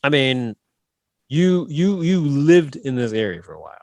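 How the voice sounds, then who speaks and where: A man's voice: mid-range voice, very animated, normal speed, gravelly, darkish tone, no room sound. A man co-hosting a comedy podcast, bantering playfully with teasing quips. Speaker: podcast co-host.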